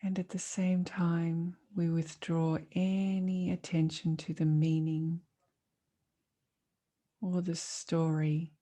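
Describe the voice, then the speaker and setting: A woman leading a guided meditation as a teacher, speaking in a calm, soothing, measured way with long pauses. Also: fairly low pitch.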